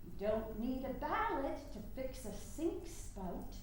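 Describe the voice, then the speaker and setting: A woman's voice: sits high, very animated, slow, heavily acted. A woman acting an indignant, bitter veteran suffragist in an emphatic, declamatory staged reading. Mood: scornful. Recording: very echoey room, faint noise.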